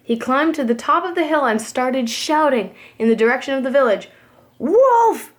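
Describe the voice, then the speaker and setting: A woman's voice: high voice, fairly quick. A woman preschool teacher reading a storybook aloud expressively for young children. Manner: expressive, addresses young listeners.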